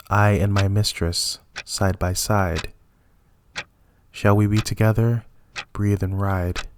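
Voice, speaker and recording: A man reads classic love poems in a dramatic style. His voice sits fairly low and he takes the verse very slowly. There is distinct noise in the recording. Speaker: poetry reader. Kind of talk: slow dramatic reading of classic love poetry.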